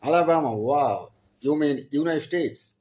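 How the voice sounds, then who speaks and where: A young man from Bangladesh speaking halting learner's English on a video call, eager and repeating words as he practices. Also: a little high, darkish tone, slight background noise. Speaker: channel host practicing English with strangers. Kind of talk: casual video chat with a stranger.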